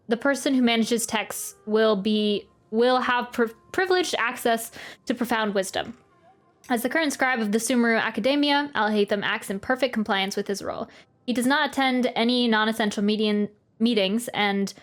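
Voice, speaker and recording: A woman doing a casual video game playthrough, reading game text aloud and adding wry asides. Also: a little high. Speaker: game streamer. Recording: game music behind the voice. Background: video game music.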